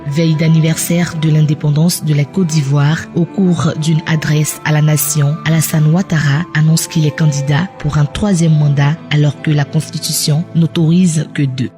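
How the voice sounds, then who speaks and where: A man's voice: on the high side, mellow. A man reading scripted narration for a news documentary in a formal reporting style.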